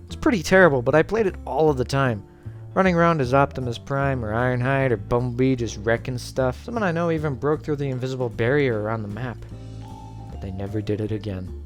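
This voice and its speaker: A man giving casual scripted commentary in a sarcastic, informal manner. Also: mid-range voice. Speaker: host of a video essay series. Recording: background music under voice. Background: music.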